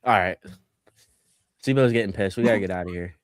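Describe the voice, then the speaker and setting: A man's voice: fairly low pitch, a little fast, no room sound, clean studio sound. A man co-hosting a sports podcast, joking and teasing his friends in casual banter. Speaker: podcast co-host.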